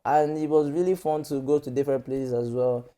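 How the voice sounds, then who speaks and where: Guest role: young Nigerian man, mellow tone, loose chatty telling with lots of "you know". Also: medium pitch, fairly even intonation, brisk, smooth. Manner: chatty, many "you know" fillers, laughs often. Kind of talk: casual storytelling interview.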